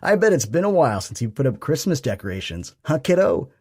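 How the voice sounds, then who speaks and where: A man doing a booming, jovial dad. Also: sing-song, brisk, darkish tone, slightly rough. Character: booming jovial middle-aged father.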